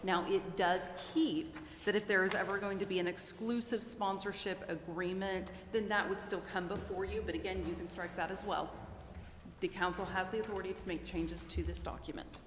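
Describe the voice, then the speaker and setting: Woman advising a council, measured and precise, very echoey room, noticeable noise. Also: medium pitch, steady tone. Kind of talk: formal advice at a council meeting. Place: reverberant council chamber. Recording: echoey hall, clear background noise.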